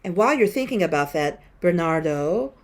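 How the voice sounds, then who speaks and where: Low-voiced woman in her fifties teaching online. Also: lively intonation, moderate pace. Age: 50s. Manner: conversational, storytelling, asks students questions. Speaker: guest musician teaching a class. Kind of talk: online classroom talk. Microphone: video call.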